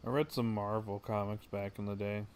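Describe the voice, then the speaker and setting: A man's voice: on the low side, level tone, normal speed, a little mellow, slight background noise. A man livestreaming a game, giving casual commentary and chatting as he plays. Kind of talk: casual live gaming commentary.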